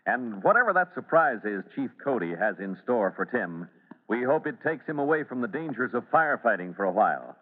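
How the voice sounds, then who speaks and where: A man's voice: medium pitch, sing-song, fairly quick. A man narrating an old radio serial in a dramatic, earnest announcer's manner. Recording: muffled, band-limited old radio recording.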